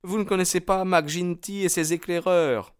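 A man's voice: sits high, fairly even intonation, moderate pace, smooth, no room sound, clean studio sound, pitched above the performer's own voice, lightly acted. A man voicing an anxious young woman in love, speaking in an earnest, pleading way in an audiobook. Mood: fearful, warning. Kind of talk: audiobook character dialogue.

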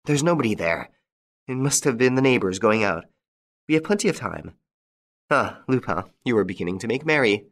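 A man reading an audiobook and voicing a vengeful, furious middle-aged widow in a shrill, taunting voice. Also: expressive, normal speed, fairly bright tone. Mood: frenzied rage.

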